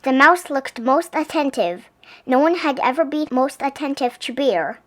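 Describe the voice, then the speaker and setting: A woman's voice: sits high, animated, moderate pace, a bit bright. A woman reading a picture book aloud expressively for young children. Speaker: storybook reader.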